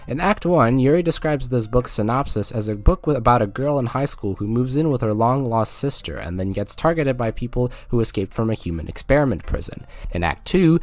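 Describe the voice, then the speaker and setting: A man's voice: mid-range voice, no echo. A man narrating a scripted, jokey video game review with sarcastic, playful asides.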